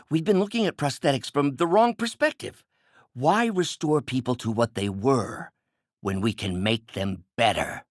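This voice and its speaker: An actor playing a brilliant, bitter middle-aged scientist turned villain in a video game, with precise, articulate, grandiose delivery. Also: male voice, mid-range voice, no echo, studio quality, close to an everyday voice. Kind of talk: dramatic game character voice lines.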